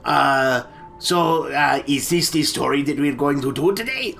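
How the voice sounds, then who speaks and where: A man's voice: a little high, animated, measured pace, vocal fry, a bit bright, slight background noise. A man co-hosting a comic movie chat, hesitant and stammering, often repeating his words. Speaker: comedy storytelling co-host. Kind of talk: casual comic movie discussion.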